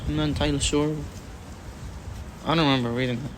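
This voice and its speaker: A young man co-hosting a casual podcast, deadpan and mock-serious, often clearing his throat. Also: medium pitch, quick pace. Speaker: podcast co-host. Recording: noisy background.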